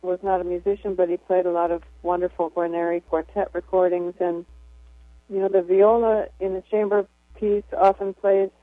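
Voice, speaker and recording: A woman violist with a low speaking voice, a radio guest talking thoughtfully with many fillers over the phone. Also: flat delivery, moderate pace, no echo. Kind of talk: casual interview chat. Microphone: phone call. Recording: tinny phone line.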